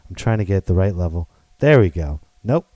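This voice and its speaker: A man hosting his own podcast, talking loud and playful in a rambling way with many restarts. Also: sits low, expressive, a little fast, no echo. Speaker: podcast host. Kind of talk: casual solo podcast monologue.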